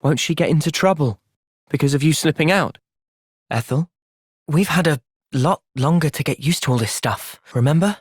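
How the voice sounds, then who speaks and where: A man playing a gentle, thoughtful young soldier hero in a video game, earnest and reflective in manner. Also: fairly high pitch, animated, moderate pace, husky, no room sound, clean studio sound. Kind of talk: video game cutscene voice acting.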